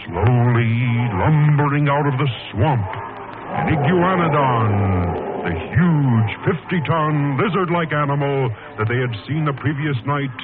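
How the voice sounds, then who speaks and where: A man's voice: mid-range voice, heavy background noise. A man narrating a 1940s radio adventure serial in a theatrical, suspenseful, emphatic style. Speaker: announcer-narrator. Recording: tinny, band-limited old radio broadcast, music.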